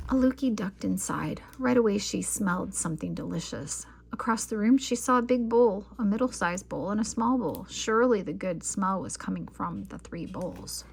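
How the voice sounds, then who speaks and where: A woman reading a children's picture book aloud as an expressive storyteller. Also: medium pitch, moderate pace, creaky, hardly any pauses, husky.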